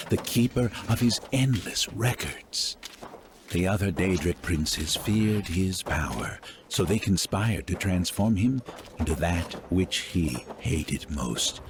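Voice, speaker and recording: An actor playing a solemn, ancient keeper of records in a fantasy game, measured and cryptic. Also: male voice, low voice, noisy background, heavily acted.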